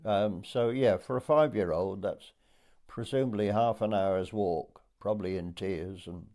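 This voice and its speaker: An Englishman in his eighties from Dorset, recalling his childhood for an oral history interview in a hesitant storytelling way with pauses. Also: fairly low pitch, warm tone. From Dorset, England. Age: 80s.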